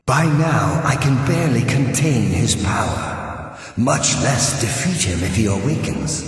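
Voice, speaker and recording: A weary ghost guardian speaks with slow, archaic gravity. The breathy male voice swings in pitch and trails off into long, echoing reverb, as in a fantasy video game. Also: far from the mic. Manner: slow, grave, archaic phrasing. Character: solemn, weary ghost guardian of an ancient tomb. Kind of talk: video game character voice acting.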